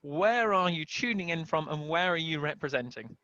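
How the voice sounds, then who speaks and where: An enthusiastic podcast host from the UK, a man who piles on superlatives. His voice is bright and forceful, pitched a touch high. It is recorded dry on a headset, with a dull lo-fi tone. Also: animated, normal speed, dry recording. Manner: upbeat, enthusiastic, lots of superlatives. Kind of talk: casual interview hosting.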